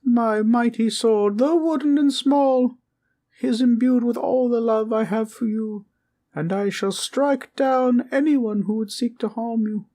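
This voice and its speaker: A small, courtly teddy bear guardian, played by a woman, speaks in a high, soft and mellow voice. The little bear takes its time, sweet and childlike, offering polite, old-fashioned bedtime comfort as in a gentle audio roleplay. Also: a little slow, close to an everyday voice. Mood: gently valiant.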